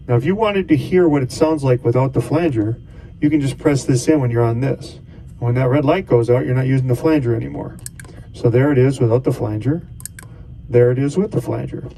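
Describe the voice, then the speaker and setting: A man's voice: mid-range voice, mellow, slight background noise, poor audio. A man casually talking through a device setup step by step as a tutorial host. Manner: casual, talks through each step as he goes.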